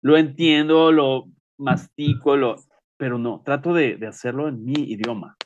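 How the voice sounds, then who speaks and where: A man's voice: mid-range voice, measured pace, dull audio, a little hiss or hum. A man co-hosting a radio show, teasing and joking in a theatrical way. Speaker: radio co-host. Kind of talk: playful radio chat.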